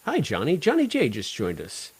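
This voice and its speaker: A man in a relaxed podcast conversation as co-host. He speaks at a middle pitch with animated emphasis, drifting into tangents as he makes his case. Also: normal speed, breathy edge. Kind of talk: casual fan discussion.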